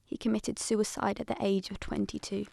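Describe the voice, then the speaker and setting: A woman's voice: medium pitch, level tone, moderate pace, vocal fry, mellow. A woman playing a grave narrator of tragic survivor stories in a scripted audio drama, sober and serious.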